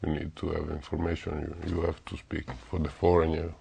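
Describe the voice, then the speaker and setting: A man's voice: a bass voice, completely flat delivery, a little fast, vocal fry, warm tone, a little hiss or hum. A man from Italy with an Italian accent, a candidate in a speaking exam, answering hesitantly and searching for words. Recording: dull lo-fi recording.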